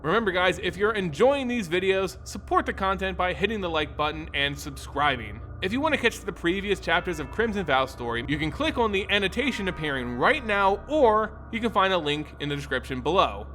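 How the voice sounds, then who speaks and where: A man hosting a lore channel, reading a scripted story in a theatrical, expressive way. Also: sits high, a little fast, hardly any pauses. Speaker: host and narrator of a lore channel. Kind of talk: dramatic scripted story narration. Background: music under the voice.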